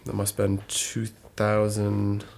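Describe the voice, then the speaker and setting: A thirty-year-old man from northern Minnesota talks through his story on a relaxed podcast. His voice sits fairly low, and he rambles, backing up to fix details and filling pauses with ums. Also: creaky. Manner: rambling, self-correcting with many ums. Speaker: podcast co-host. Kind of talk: casual conversational podcast.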